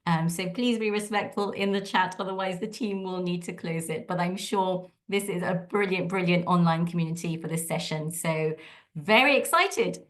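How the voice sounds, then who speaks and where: A woman's voice: fairly low pitch, moderate pace. A woman co-hosting an online event over a video call, giving housekeeping announcements in a friendly way with many filler ums. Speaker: event co-host.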